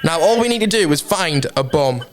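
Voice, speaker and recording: A man's voice: fairly high pitch, animated, normal speed, noisy background, natural voice. A man playing a keyed-up young soldier. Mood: urgent. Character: eager young male soldier, squad member. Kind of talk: amateur radio drama acting. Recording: voice over loud music.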